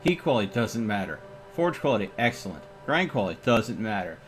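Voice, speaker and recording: A man's voice: on the low side, big pitch swings, a little fast, soft background music. A man giving casual live gameplay commentary as a streamer, thinking aloud in short, sometimes repeated phrases. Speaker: video game streamer.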